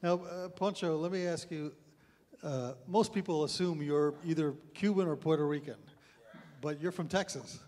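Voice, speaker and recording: A man's voice: on the high side, moderate pace, darkish tone. Middle-aged man hosting an onstage interview. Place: concert hall stage before an audience. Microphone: handheld mic. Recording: long concert-hall reverb.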